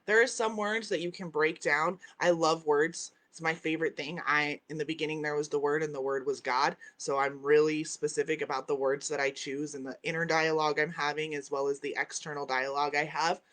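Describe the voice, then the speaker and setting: A woman's voice: low voice, fairly even intonation, a little fast, hardly any pauses, a bit bright. Emphatic woman teaching at home. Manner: emphatic, repeats key phrases, tags lines with "right?"; reads out and answers viewer comments. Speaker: host. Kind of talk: spiritual teaching on a live stream. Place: at home by a window, with dogs in the house.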